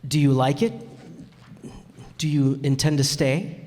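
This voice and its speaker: A man playing an earnest, measured stranded pilot in a radio play. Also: mid-range voice, mellow, close to an everyday voice. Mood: gentle, inquiring. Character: stranded pilot, concerned, gentle adult narrator. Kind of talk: radio play acting and narration. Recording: echoey hall, music behind.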